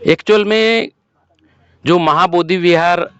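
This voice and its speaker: A man with a high-pitched voice, caught in a dry, somewhat muffled recording. He gives earnest interview answers, deliberate and halting, often driving a point home with a rhetorical question. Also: a bit bright, clean tone, dry recording. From Madhya Pradesh, India. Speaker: protest participant being interviewed. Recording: dull lo-fi close recording.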